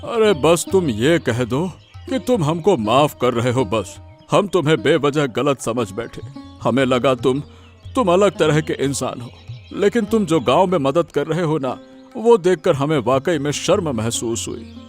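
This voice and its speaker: A man voicing a repentant middle-aged village headman in a cartoon, speaking in a deliberate, formal way. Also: a little high, animated, faint music behind. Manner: deliberate, formal and addressing a crowd. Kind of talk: Hindi cartoon dubbing.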